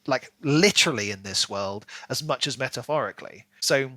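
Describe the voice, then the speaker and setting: Bright-voiced man chatting enthusiastically about books. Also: mid-range voice, normal speed, dry recording. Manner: animated, enthusiastic, musing in rhetorical questions. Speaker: book podcast co-host. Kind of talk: casual book discussion.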